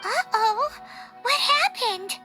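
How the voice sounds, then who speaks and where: Game role: tiny excitable fairy sidekick, extremely high squeaky voice, swooping intonation, unhurried pace. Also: female voice, music in the background, exaggerated voice. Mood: startled. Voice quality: squeaky, childlike. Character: tiny, childlike, excitable floating fairy companion. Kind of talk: scripted video game dialogue.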